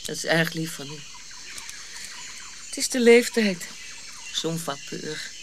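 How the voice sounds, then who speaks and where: An actress playing a proud, middle-aged colonial lady in a radio play, effusive and halting, easily wounded. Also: low-pitched, expressive, a little hiss or hum. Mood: frail, gentle. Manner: dramatic, halting, repeating herself.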